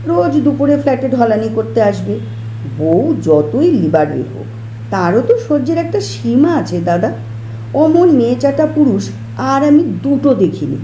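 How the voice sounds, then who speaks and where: A woman's voice: a little high, big pitch swings, measured pace, dark tone. A woman voicing a gossipy, complaining househusband in an audio story. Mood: gossipy indignant. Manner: conspiratorial, complaining, colloquial. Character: gossipy, grumbling househusband neighbour chatting over balcony. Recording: roomy echo, faint background noise.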